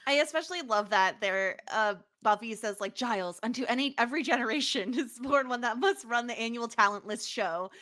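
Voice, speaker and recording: A woman's voice: a little high, a little fast, few pauses. A woman chatting as a podcast host, talkative and given to acting out quotes. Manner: chatty, animated, acts out quotes. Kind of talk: casual TV recap chat.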